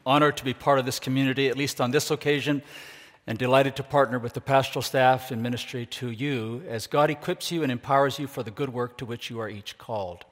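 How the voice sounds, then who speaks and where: An older man who grew up in Ecuador, a guest preacher giving an earnest, measured sermon to a church congregation. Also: medium pitch, steady tone. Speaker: guest preacher, university leader. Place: church sanctuary. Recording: long reverb of a large hall.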